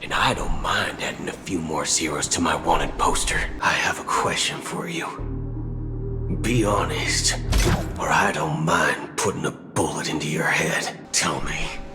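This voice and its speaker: A man's voice: a bass voice, measured pace. A man voicing a brash, menacing cowboy outlaw in a video game, drawling his threats. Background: music.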